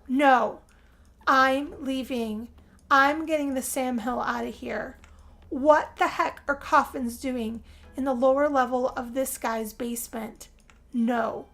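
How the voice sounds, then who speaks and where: A woman telling a first-person role-play story as game narration, theatrical, with drawn-out phrases and pauses. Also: high voice. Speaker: gameplay narrator.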